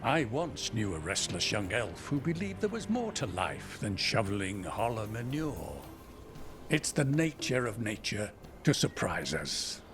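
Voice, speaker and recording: An actor playing a wise, open-minded older elf uncle who loves nature, in a video game. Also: male voice, fairly low pitch, big pitch swings, unhurried, over music. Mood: wise, teasing.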